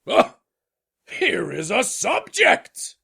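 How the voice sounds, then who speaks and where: A man voicing a proud, pompous king in a storybook tale, raspy and commanding, drawing out his orders. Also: high voice, wildly swooping pitch, sharp tone, voice pushed up, exaggerated voice. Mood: grandly delighted. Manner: pompous, commanding, drawn-out imperious delivery.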